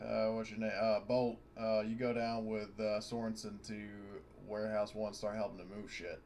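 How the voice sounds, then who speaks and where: A man chatting casually as a player in a tabletop game, halting as he thinks aloud. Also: fairly low pitch, flat delivery, darkish tone, slight background noise.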